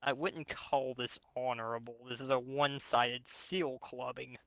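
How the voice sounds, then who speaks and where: A man's voice: medium pitch, steady tone, unhurried, gravelly, a bit bright, no echo. A man hosting a reading of fan fiction aloud, breaking off into sarcastic, sweary commentary. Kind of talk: mocking dramatic reading with casual commentary.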